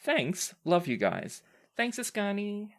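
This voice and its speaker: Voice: medium pitch, huge pitch swings, slow pace. A podcast host and game master reading listener questions aloud and chatting casually, in a conversational, playfully emphatic way.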